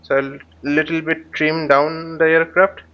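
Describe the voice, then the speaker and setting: A man giving live flight simulator tutorial commentary as an instructor, haltingly thinking aloud as he demonstrates. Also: on the high side, little pitch movement, clean tone. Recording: dull, lo-fi microphone sound.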